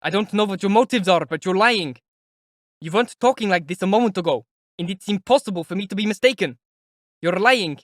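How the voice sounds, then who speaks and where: A man voicing a proud, feverish young male student who shouts in strained, defiant fury. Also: sits high, brisk, dry recording, clean studio sound, pitched above the performer's own voice, lightly acted. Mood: furious. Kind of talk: audiobook character voice.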